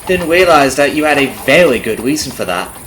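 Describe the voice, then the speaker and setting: Man playing a taunting, vengeful carriage driver, swooping pitch. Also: lively intonation, fairly quick, noisy background, close to an everyday voice. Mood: knowing, mocking. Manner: taunting, conversational with rhetorical tag questions. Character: vengeful male carriage driver, friendly surface turning coldly menacing. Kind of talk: ASMR roleplay monologue. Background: clip-clopping horse and carriage ambience.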